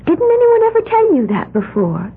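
A woman's voice: very high voice, wildly swooping pitch, normal speed, narrow, tinny audio, heavily acted. An actress playing a dreamy young woman with odd beliefs who babbles in a wide-eyed, sing-song way, in a 1970s radio drama. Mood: earnest, dreamy. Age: young adult. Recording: band-limited old radio broadcast.

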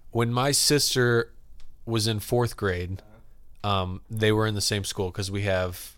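A man's voice: on the low side, steady tone, takes it slowly, a bit bright, clean tone, dry recording. A young man in his twenties hosting a podcast, chatting casually, rambling and laughing often. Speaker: podcast host.

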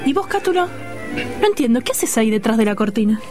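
A woman's voice: very animated, quick pace. An actress playing an exasperated, complaining wife and mother with a Rioplatense Argentine accent in a comic radio play. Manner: shrill, complaining. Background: music.

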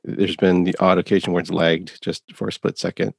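Man podcast co-host, very deep gravelly husky voice, laid-back agreeable chat, dry closet sound. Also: little pitch movement, brisk, no room sound. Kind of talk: casual chat. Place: small warm closet. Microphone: video call audio.

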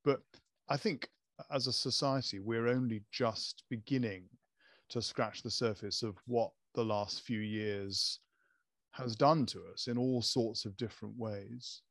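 A man's voice: mid-range voice, fairly even intonation, a little slow, fairly bright tone, no room sound, studio quality. Male guest, stammers, hesitant, mid voice. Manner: stammers, with hesitant fillers and repeated words. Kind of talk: casual conversation.

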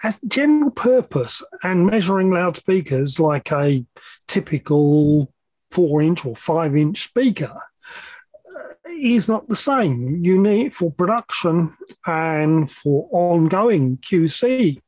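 A man's voice: on the high side, darkish tone, slightly rough, dry recording. A middle-aged man explaining technical matters as an interview guest, hesitant at times, with plain-spoken asides. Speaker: podcast guest, loudspeaker measurement engineer.

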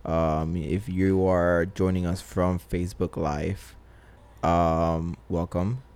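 A young man hosting a casual podcast interview, relaxed, with lots of ums and easy laughs. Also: low voice, takes it slowly, clean tone. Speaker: podcast host.

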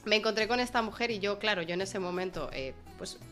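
A woman's voice: medium pitch, fairly quick, faint music behind. Chatty woman host. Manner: chatty, lively storyteller. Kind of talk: casual chat, storytelling. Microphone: video call.

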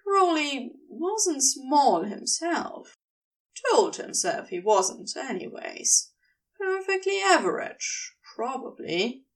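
A woman reading fiction aloud as an expressive narrator, with a wry, conversational way of telling the story. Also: high-pitched, takes it slowly, distant mic, low-quality recording. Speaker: audio fiction reader.

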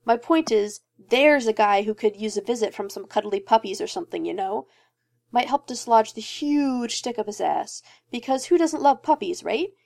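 A woman's voice: fairly high pitch, expressive, vocal fry, a little mellow. A woman reading a story aloud, voicing a wisecracking male mercenary who rambles from joke to joke. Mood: manic, joking. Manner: fast rambling jokes, breaks into laughter. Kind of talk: fan fiction reading.

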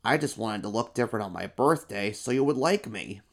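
A man voicing a vain, attention-hungry monster emperor in a storybook reading, with a drawn-out, showy delivery. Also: medium pitch, sing-song, gravelly, full character voice. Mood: sheepish. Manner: boastful, showy, then sheepish.

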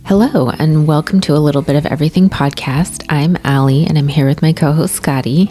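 A woman's voice: low voice, brisk, warm tone, faint music behind. A woman hosting a podcast, reading her intro and survey figures in an organized way and steering the chat with questions. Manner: organized, reads stats and prompts. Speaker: podcast host.

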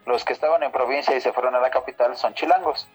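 A man's voice: medium pitch, fast talker, slightly rough, soft background music. Jokey podcast host man. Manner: laughs often, jokey interviewer. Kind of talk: casual chat.

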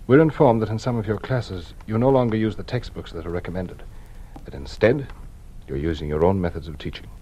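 A man's voice: on the low side, brisk, mellow, a little hiss or hum, close to an everyday voice. An actor playing a stern, patronizing male official who runs a hearing, in a 1950s radio drama.

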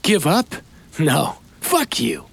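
A man playing a bitter, defiant armed criminal in an audio drama, with a gravelly, raspy voice and a taunting manner. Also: a little high, huge pitch swings, takes it slowly, a bit bright, full character voice. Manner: taunting, swinging delivery.

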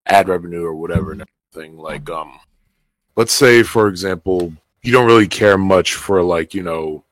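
A man's voice: deep voice, normal speed, dry recording, low-quality recording. A man in a casual group chat asking a long, rambling question, full of hedges and fillers. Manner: rambling, hedging, many fillers.

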